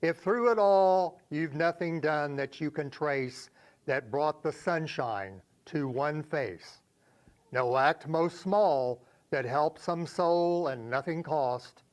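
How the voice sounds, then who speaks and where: A man reciting poems for a reader's theater in a measured, expressive storytelling way. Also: a little high. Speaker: poetry reader.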